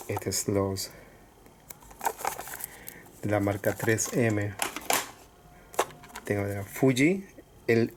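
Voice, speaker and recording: A man casually presenting items to viewers in short, halting phrases with pauses. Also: lowish voice, darkish tone. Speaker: collector showing cassettes for sale. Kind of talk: casual product showcase. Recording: clear background noise with clicks.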